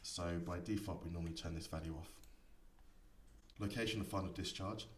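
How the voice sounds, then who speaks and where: A man giving a software tutorial, explaining each setting step by step in an instructor's manner. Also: low-pitched, steady tone, fairly quick. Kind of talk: screen-recorded tutorial explanation. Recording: echoey room, distant mic, faint noise.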